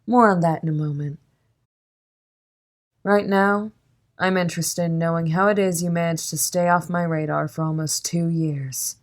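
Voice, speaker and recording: Woman playing low voiced lady crime boss, super polite n calm but threatening, audio drama villain. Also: close to an everyday voice. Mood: coolly menacing. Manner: polite, measured, coolly amused formal speech. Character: calm, menacing female crime boss, silky and playful.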